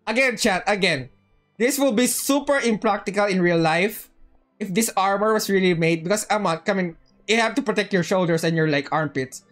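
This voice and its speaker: A woman's voice: mid-range voice, a little fast. Casting: female game streamer, mid-range voice, playful slangy reactions, faint game music behind. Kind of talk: casual live reaction commentary.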